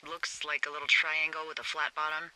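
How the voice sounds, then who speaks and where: An actress playing a wry, uneasy American fire lookout in a video game, speaking hesitantly and conversationally through a walkie-talkie filter. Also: female voice, deep voice, monotone, brisk, pushed and bright, poor audio. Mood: helpful. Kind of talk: naturalistic video game voice acting.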